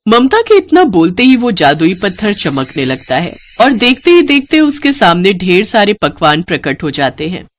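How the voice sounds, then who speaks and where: Female storyteller: medium pitch, scripted moral-tale narration. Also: very animated, normal speed, few pauses, slight background noise.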